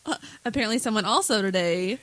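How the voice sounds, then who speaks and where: A woman's voice: medium pitch, expressive, quick pace, a bit bright, no echo. A woman co-hosting a talk show, going through news stories in an informal, wry, chatty way. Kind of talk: casual chat about news stories.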